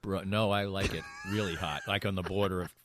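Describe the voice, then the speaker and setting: A man's voice: low-pitched, steady tone, brisk, fairly bright tone, a little hiss or hum. A middle-aged man in casual banter, rambling and emphatic, often repeating himself as he goes. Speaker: radio show and podcast host.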